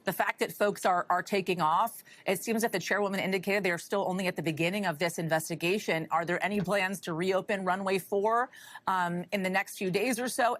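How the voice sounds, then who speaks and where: A woman's voice: medium pitch, a bit bright. A woman news anchor asking a reporter long, conversational questions during a live broadcast.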